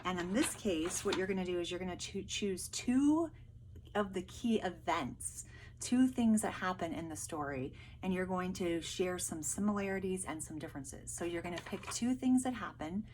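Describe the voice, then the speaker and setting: A woman's voice: lowish voice, expressive, moderate pace, darkish tone, slight background noise, poor audio. A woman teacher speaking casually to pupils, explaining and reading a storybook aloud. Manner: explanatory, addressing pupils directly.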